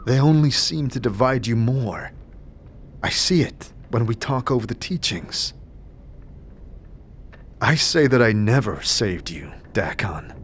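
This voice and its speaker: Brooding, slow-spoken immortal wanderer played by a man. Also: mid-range voice, slight background noise. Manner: earnest, searching, deliberate. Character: brooding, probing, remorseful immortal male wanderer. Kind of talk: fantasy audio drama acting.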